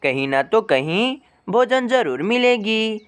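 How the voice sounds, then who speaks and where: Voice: medium pitch, sing-song, takes it slowly, smooth. A narrator voicing a caring, hardworking mother bird in a cartoon story, talking earnestly to herself. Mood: determined, worried. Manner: earnest self-talk. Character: worried, hardworking mother sparrow, caring and determined.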